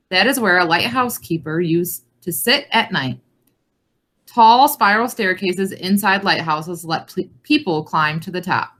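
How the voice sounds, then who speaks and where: A woman's voice: on the low side, a bit bright. A woman reading a children's nonfiction book aloud as a read-along presenter.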